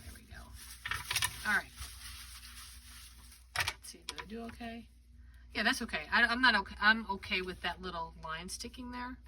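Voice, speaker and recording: A woman's voice: medium pitch, normal speed, long pauses, sharp tone. A congested woman chatting and thinking aloud as she demonstrates a craft project live. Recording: distant mic with background noise.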